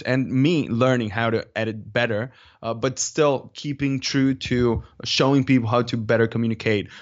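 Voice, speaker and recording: A man with a Spanish accent talks as an interview guest. He is measured and deliberate, often searching for the next word. His mid-pitched voice comes through slightly dull, lo-fi audio. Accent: Spanish-speaking. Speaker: interview guest, video creator. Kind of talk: conversational interview answers.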